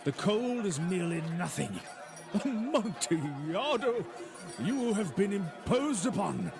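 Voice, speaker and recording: An actor playing a drunken, boastful wine connoisseur in a gothic audiobook, pompous, exclamatory and insistent, with a creaky voice. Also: male voice, high-pitched, big pitch swings, takes it slowly, a crowd in the background, full character voice. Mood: insistent, scornful. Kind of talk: dramatized gothic audiobook dialogue. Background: music.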